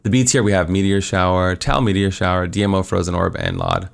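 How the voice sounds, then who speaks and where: Gravelly deep-voiced man, rambling gamer. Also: expressive, a little fast. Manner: rambling, filler-heavy, upbeat. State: losing his voice. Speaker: gaming content creator. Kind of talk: casual walkthrough of a tier list.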